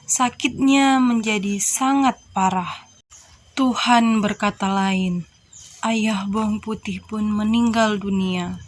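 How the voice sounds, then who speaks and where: A young woman tells a children's fairy tale slowly and expressively. Her voice sits a little high. Faint background noise runs under her narration. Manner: slow, expressive. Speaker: storyteller. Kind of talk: children's storytelling.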